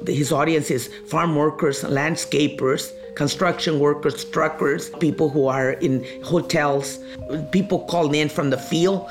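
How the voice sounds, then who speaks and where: A woman's voice: deep voice, fairly even intonation, measured pace, over music. Lady, laughs a lot, tells her story, radio station manager. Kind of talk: interview.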